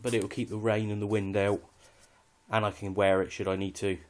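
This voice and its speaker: A man's voice: fairly low pitch, little pitch movement, fairly quick, darkish tone. A British man giving a casual, unscripted walkthrough of his kit in a matter-of-fact, slightly hesitant way. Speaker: hobbyist presenter showing radio kit.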